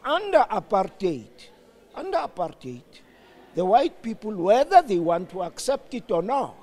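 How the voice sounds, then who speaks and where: An older man with a South African accent in a reflective political discussion, deliberate and halting, with emphatic stresses. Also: high-pitched, very animated, a little mellow. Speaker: interview guest.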